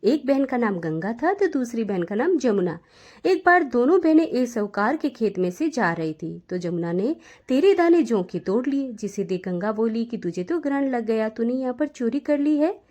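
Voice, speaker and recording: A woman narrating devotional folk tales in an expressive storytelling delivery. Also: a little high, moderate pace.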